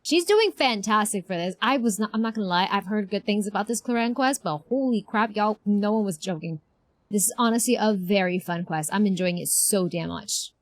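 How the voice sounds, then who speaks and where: A woman's voice: medium pitch, animated, fairly quick, no echo. A woman streaming a game, chatting casually and expressively with viewers in an informal, slangy way. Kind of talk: casual livestream reaction chat.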